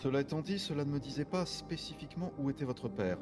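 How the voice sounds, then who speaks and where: A man playing a courteous private detective in online roleplay, explaining things formally and deliberately, with halting pauses. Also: a little high, natural voice. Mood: grave, measured. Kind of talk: improvised French online roleplay. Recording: background music under the voice.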